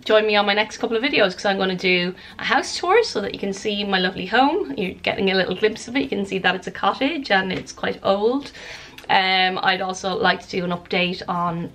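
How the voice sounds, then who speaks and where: A woman's voice: mid-range voice, vocal fry, bright, forceful tone, low-quality recording. A woman in her thirties, slightly snuffly with a cold, talking earnestly to camera in a cottage living room. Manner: earnest, open, talks with her hands. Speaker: vlogger. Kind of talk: casual vlog chat to camera.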